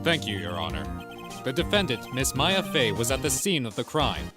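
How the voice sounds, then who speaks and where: A man's voice: mid-range voice, very animated, normal speed, over music, close to an everyday voice. A man playing a cool, confident prosecutor in an amateur courtroom game dub, speaking in a clipped and formal manner.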